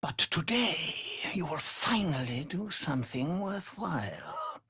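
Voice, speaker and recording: An actor playing a cruel, imperious aristocratic vampire master in a video game, speaking with theatrical contempt and menace, savoring every word. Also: male voice, a little high, big pitch swings, measured pace, sharp tone, slightly rough, dry recording, clean studio sound, heavily acted. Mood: disdainful. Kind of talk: dramatic video game villain acting.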